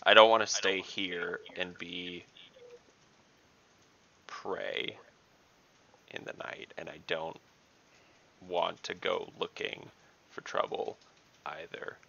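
A man's voice: low-pitched, steady tone, slow pace, gravelly, pauses often, pushed and bright, slight background noise. A man playing a tabletop game on a video call, wry and joking. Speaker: player. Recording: dull lo-fi call audio.

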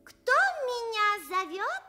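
A woman playing a kindly, commanding magic sewing needle in a children's radio play, speaking deliberately like a fairy. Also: very high-pitched, very animated, sharp tone, faint music behind, exaggerated voice. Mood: grand, inquiring.

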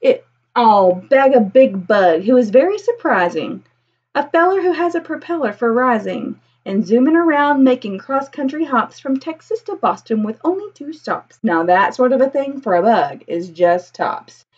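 A woman's voice: fairly high pitch, very animated, normal speed, darkish tone, slightly rough, highs cut off. A woman in her thirties reading a children's picture book aloud expressively, with a singsong, rhythmic delivery. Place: small indoor room with bookshelves.

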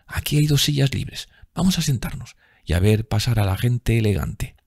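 Un hombre que da voz a una joven actriz enamorada y risueña, con un habla musical y exclamativa, en un audiolibro. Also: male voice, medium pitch, animated, moderate pace, a little mellow, no echo, studio quality, voice pushed up. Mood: playful, joyful. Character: giddy, lovestruck young woman, a dreamy actress.

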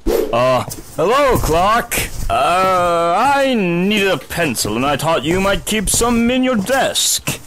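A man's voice: high-pitched, big pitch swings, takes it slowly, a bit bright, heavily acted. A man playing a big, naive, blithely cheerful superhero in a hammy comic-book dub, bombastic in manner. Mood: innocently evasive. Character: dim, blithely cheerful, oversized male superhero in clumsy disguise.

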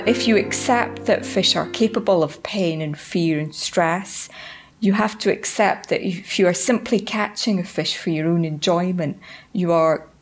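A woman with a British accent, a university researcher, calmly explaining her view in an interview indoors. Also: on the low side, a little slow, faint music behind. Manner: calm, explanatory. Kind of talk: expert interview comment. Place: indoor office.

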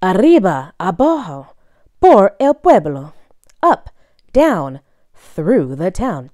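A woman reading a children's picture book aloud in a bilingual read-aloud style, expressively and deliberately, phrase by phrase. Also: mid-range voice, very animated, dark tone, dry recording, studio quality.

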